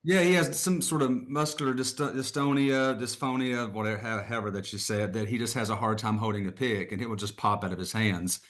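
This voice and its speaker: A man's voice: fairly low pitch, fairly even intonation, fast, few pauses, fairly bright tone. A middle-aged man, a guest musician, chatting casually and rambling a little, laughing often. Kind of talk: casual conversation.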